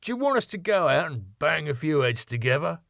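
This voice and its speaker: A man voicing a blunt, rough male doorman. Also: fairly high pitch, sing-song, a little fast, a bit bright, dry recording, studio quality, voice pushed up, exaggerated voice. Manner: blunt, working-class.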